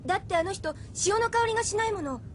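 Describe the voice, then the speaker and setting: A woman's voice: very high-pitched, animated, fast talker, slight background noise. A woman playing an anxious, suspicious young island girl with a pleading, informal manner in an audio drama. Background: faint music.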